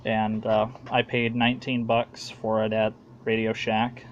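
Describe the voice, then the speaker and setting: American guy doing a casual show and tell, kinda monotone and halting, muffled recording w some noise. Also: on the low side. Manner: halting, unpolished, plain-spoken. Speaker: hobbyist video maker.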